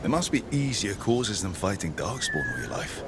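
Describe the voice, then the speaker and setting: An actor playing a curious, encouraging adventurer companion in a video game, with an inquisitive, conversational way of talking. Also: male voice, fairly low pitch, big pitch swings, moderate pace, noisy background. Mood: probing. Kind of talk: video game dialogue acting.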